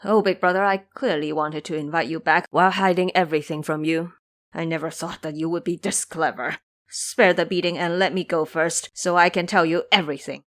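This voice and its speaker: A woman's voice: on the low side, fairly even intonation, a little fast, close to an everyday voice. A woman voicing a cowardly, wheedling pig-monster disciple in an audio drama, whining and grovelling as he pleads.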